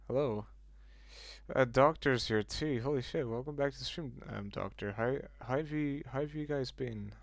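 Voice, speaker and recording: A man's voice: medium pitch, moderate pace, creaky, dry recording. A man casually chatting with viewers on a livestream, reacting aloud to messages and swearing now and then.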